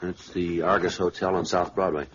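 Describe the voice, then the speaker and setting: An actor playing a businesslike, unflappable American police sergeant in a 1950s radio drama, clipped, deadpan and matter-of-fact in dialogue and narration. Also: male voice, low voice, normal speed, a little hiss or hum, natural voice. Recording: dull vintage radio recording, music behind.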